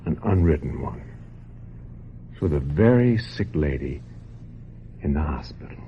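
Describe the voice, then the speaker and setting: A domineering film director in a radio drama, with a low voice. His delivery swings widely in pitch, theatrical and condescending, leaning on key words to manipulate. The recording is somewhat dull. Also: animated, creaky, slight background noise, heavily acted. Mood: quietly menacing. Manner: theatrical, insistent, condescending, with deliberate emphatic phrasing.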